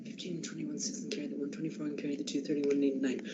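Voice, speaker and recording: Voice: medium pitch, level tone, racing, background noise, close to an everyday voice. An actor playing a meek, nervous male clerk in a radio play, timid and stammering as he addresses his boss. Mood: apologetic. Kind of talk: school radio drama acting. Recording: muffled lo-fi home recording.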